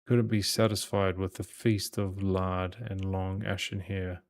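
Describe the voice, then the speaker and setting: A man's voice: low voice, little pitch movement, unhurried. A man reading a visual novel's narration and dialogue aloud as a gaming host.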